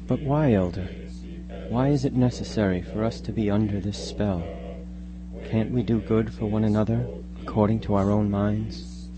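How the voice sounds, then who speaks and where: A man's voice: on the low side, steady tone, moderate pace, crowd noise, lightly acted, poor audio. A man playing a doubting, bewildered young man in a radio horror drama, earnest and wondering. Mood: earnest protest.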